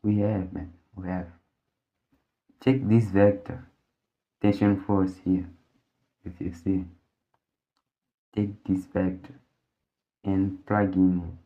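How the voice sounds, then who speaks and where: A man's voice: deep voice, level tone, slow pace, long pauses. A man whose first language is Portuguese, working through a physics problem step by step as a tutor, thinking aloud with many restarts and fillers. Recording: dull lo-fi audio.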